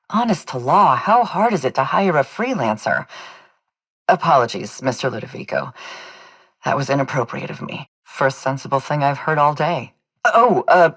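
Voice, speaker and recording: A woman's voice: a bass voice, fairly bright tone, dry recording. Female game constable, dutiful, tired, politely anxious, formal diction, very dry sound. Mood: weary, exasperated. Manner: formal, deferential, clipped official courtesy. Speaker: police constable in a video game. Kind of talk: video game dialogue acting.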